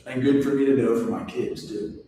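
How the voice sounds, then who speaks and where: A man's voice: mid-range voice, fairly even intonation, a little fast, heavy background noise. Man in his forties lecturing in an echoey hall. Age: 40s. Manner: chatty, personal asides. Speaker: instructor. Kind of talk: casual classroom lecture. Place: classroom. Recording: echoey hall recorded from far off.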